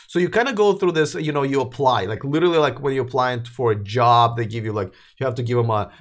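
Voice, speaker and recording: A tired man hosting a casual electric car livestream. He reads out viewer comments and rambles, with many fillers and restarts. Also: medium pitch. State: tired, voice wearing out. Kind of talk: casual live chat, reading viewer comments.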